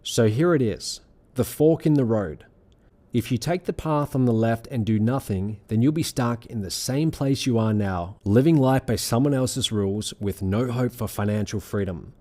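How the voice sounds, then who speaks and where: A man reading a scripted sales pitch as presenter, in an earnest, measured, persuasive manner. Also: warm tone. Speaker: presenter of an online money-making sales pitch.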